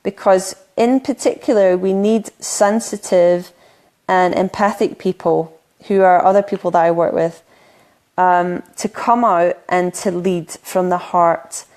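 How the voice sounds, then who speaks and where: A middle-aged woman from the UK, a spiritual coach on a solo live stream, talking earnestly with many ums and restarts, her voice a little croaky from talking a lot. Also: on the low side, fairly even intonation, measured pace, darkish tone.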